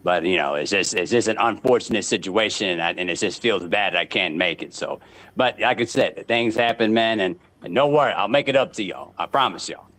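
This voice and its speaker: Animated, boastful man in his thirties. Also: fairly low pitch, fairly quick. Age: 30s. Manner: boastful trash talk, repeats himself. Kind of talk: casual podcast banter.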